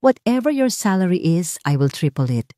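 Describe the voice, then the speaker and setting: A woman's voice: on the low side, very animated, normal speed, warm tone, no room sound, studio quality. A woman with a Filipino accent voicing an earnest, decisive, wealthy single father in an audiobook. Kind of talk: audiobook character dialogue.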